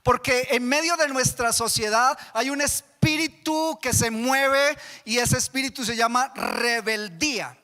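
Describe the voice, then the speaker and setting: A man's voice: extremely high pitch, a little slow, hardly any pauses, sharp tone. A man with a Colombian accent preaching a sermon as pastor, often asking the congregation questions. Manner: asks the congregation repeated questions. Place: church hall. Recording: church hall reverb.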